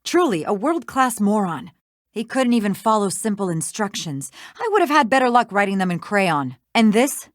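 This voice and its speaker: A woman's voice: medium pitch, very animated, moderate pace, no room sound, clean studio sound, close to an everyday voice. An actress playing a bitter, sarcastic female lawyer villain in a video game, ranting with emphatic contempt. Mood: exasperated contempt.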